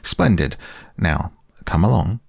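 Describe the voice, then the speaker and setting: A man voicing a brisk, bossy, scheming rabbit in a storybook, with an instructing manner. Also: sits low, lively intonation, vocal fry, breathy edge, dry recording, clean studio sound, full character voice. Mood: brisk, impatient.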